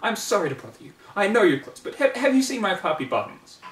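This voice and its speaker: A man's voice: high-pitched, sing-song, quick pace, a bit bright, voice pushed up. A man voicing an anxious, easily flustered female pony, a doting pet owner, in a story reading. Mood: worried. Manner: pleading, easily flustered, melodramatic. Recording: roomy, distant mic, faint noise.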